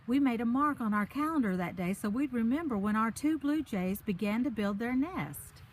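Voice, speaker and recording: A woman reads a picture book for young children in a slightly high voice with lots of melodic swing. She breaks off for simple, chatty asides to her listeners. She is recorded outdoors, with faint ambient noise around her. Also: expressive, normal speed. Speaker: children's story reader. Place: outdoors on a park trail.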